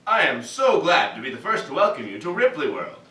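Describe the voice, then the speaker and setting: A man's voice: a little high, very animated, a little fast, pushed and bright. A man playing a bombastic, genial old theme park founder in a staged cartoon reading, with a grandiose welcoming delivery. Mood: grand welcome. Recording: distant roomy stage recording, some hiss.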